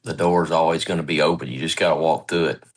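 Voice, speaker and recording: A man's voice: very deep voice, steady tone, fast talker. A man on a recovery podcast, plain-spoken and joking.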